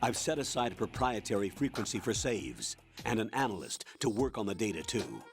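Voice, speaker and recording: A low-voiced stern military colonel. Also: male voice, very animated, gravelly, soft background music. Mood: calm, businesslike. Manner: authoritative, measured, instructive. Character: stern older male military colonel briefing an operative. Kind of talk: video game radio briefing, scripted acting.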